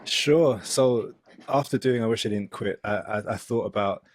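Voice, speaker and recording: Low-voiced London man, thoughtful. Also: moderate pace, no echo. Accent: London English. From London, England. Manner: thoughtful, many ums and restarts. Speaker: guest, musician and author. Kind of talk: relaxed conversational interview.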